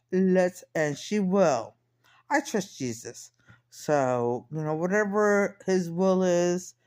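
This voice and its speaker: A woman's voice: on the low side, a little mellow. A woman in her fifties chatting casually in a rambling personal diary style, often repeating and correcting herself. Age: 50s. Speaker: personal vlogger.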